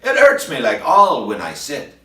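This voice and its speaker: A woman's voice: rumbling low, huge pitch swings, moderate pace, bright, forceful tone, roomy sound, distant mic, voice pushed up, heavily acted. An older-sounding man voicing a bashful, timid wife who stammers when nervous, in a recited comic ballad. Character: bashful middle-aged wife with a sore boil.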